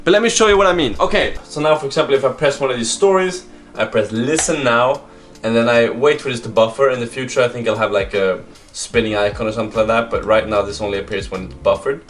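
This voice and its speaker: Man, casual vlogger, mid-pitched voice, informal conversational delivery, music in the background. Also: quick pace. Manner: informal, conversational, mild swearing. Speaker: startup vlogger and app developer. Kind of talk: casual video diary and app demo.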